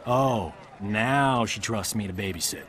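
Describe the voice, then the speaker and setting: An actor playing a wisecracking, laid-back military sidekick in a video game, sardonic and flippant. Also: male voice, fairly low pitch, measured pace, a bit bright. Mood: wry, teasing. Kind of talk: dramatic video game cutscene acting.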